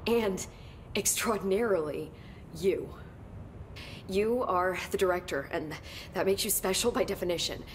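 An actress playing a nervous, talkative young research assistant in a video game. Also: female voice, medium pitch, a little slow, long pauses, slight background noise, lightly acted. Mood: rattled. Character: rattled, chatty young female research assistant. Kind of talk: video game cutscene acting.

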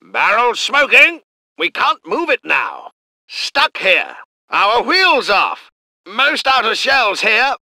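A man's voice: very high voice, wildly swooping pitch, slow, sharp tone, dry recording, full character voice. A man voicing a clipped, formal British tank gunner, delivering game unit lines. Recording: dry close studio recording.

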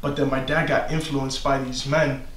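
A man's voice: mid-range voice, flat delivery, normal speed, a bit bright, echoey room. A man, a client, telling in casual talk about strange things he has seen, earnest and prone to repeating himself. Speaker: client in a haunted house. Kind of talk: casual emotional storytelling. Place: indoor room full of antiques.

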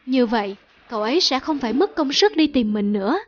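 Woman as a gentle young male teacher, high voice. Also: lively intonation, fairly quick, no room sound, lo-fi sound. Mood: sad resolve. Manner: apologetic, sincere. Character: modest, self-respecting young male teacher, gentle friend. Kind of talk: cartoon folk tale dubbing. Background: music.

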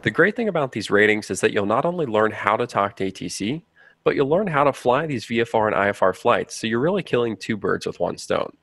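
A man's voice: mid-range voice, animated, a little fast, gravelly, a bit bright. A man giving scripted, explanatory narration for a training video. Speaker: narrator of a flight training promo.

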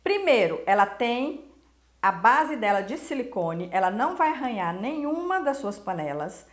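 High-voiced Brazilian woman, roomy sound. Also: big pitch swings, normal speed. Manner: chatty, emphatic, addresses viewers directly. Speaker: cooking show host. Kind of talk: casual instructional talk to camera. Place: small indoor kitchen. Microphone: lapel mic. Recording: roomy kitchen echo.

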